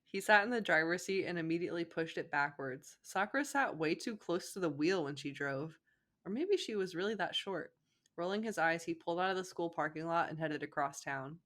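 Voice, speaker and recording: Low female voice, casual read-aloud, chatty, giggly, joking asides. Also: expressive, brisk, fairly bright tone. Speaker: co-host of a fan fiction reading show. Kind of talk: casual chat, reading fan fiction aloud.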